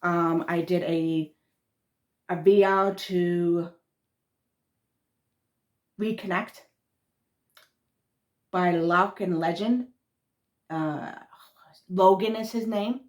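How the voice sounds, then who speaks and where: A woman with a thick Massachusetts accent talks in a somewhat low voice. She rambles casually as a spiritual vlogger, trailing off mid-thought and restarting words. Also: steady tone, extremely slow, pauses often.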